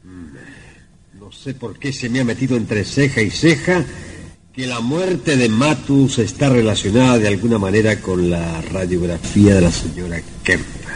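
An actor playing a pensive, suspicious police inspector in an old radio drama, musing deliberately. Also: male voice, on the low side. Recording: old radio recording, faint noise.

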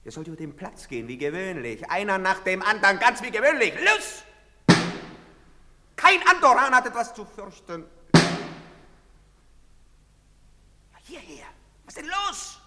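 Bossy soldier yelling orders, high lively bright voice, big reverb. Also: male voice, moderate pace, noisy background. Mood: shouting. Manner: barking, commanding. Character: brusque young male soldier barking orders. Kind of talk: radio play acting. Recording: long reverb.

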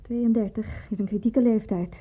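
A wary nurse in her thirties who has been hurt in love, defensive and confessional in a radio play. Her voice sits fairly high and has a husky grain. The old recording is thin and narrow, like a phone line. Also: fairly even intonation, normal speed. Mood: bitter confession. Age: 30s. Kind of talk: radio drama acting.

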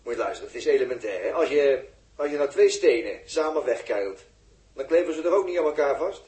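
An actor playing a sarcastic, impatient spaceship technician in a 1960s radio drama, explaining things in a condescending, halting way. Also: male voice, on the high side, lively intonation, a little mellow, roomy sound, natural voice. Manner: sardonic, condescending, halting while busy.

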